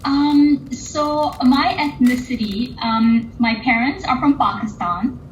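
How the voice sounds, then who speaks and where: A woman guest chatting casually in an online artist interview, higher voice echoing round the room over faint noise. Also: takes it slowly. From England and Oman, later the United States. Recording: roomy, dull, lo-fi audio with faint noise.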